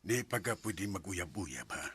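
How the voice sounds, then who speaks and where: A man's voice: deep voice, big pitch swings, measured pace, noisy background. A man with a Cebuano Filipino accent acting a protective, stern but loving middle-aged father in a radio drama. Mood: gently stern. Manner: admonishing, paternal.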